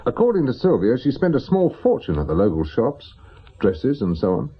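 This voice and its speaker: An actor playing a reluctant, reasonable investigator in a radio drama, English-accented, with a hesitant and conciliatory manner. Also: male voice, medium pitch, huge pitch swings, normal speed, breathy edge, lo-fi sound. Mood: tentative, reasoning. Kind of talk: naturalistic radio drama acting.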